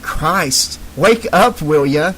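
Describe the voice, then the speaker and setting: A woman's voice: deep voice, expressive, extremely slow, fairly bright tone, a little hiss or hum, heavily acted. A man voicing a bossy, brash young woman directing a homemade film.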